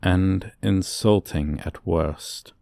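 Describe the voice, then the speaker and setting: A man's voice: low-pitched, extremely slow, creaky, mellow, no echo, clean studio sound, exaggerated voice. A man voicing a haughty dragon professor in an ASMR roleplay, condescending to a human student in pompous, archaic, formal diction. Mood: lofty, disdainful.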